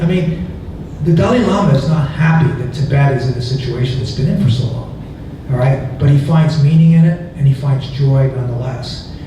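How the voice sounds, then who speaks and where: A man presenting his book to an audience, talking conversationally with restarts and chuckling asides. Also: medium pitch. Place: museum event hall. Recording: echoey hall from far off, noisy.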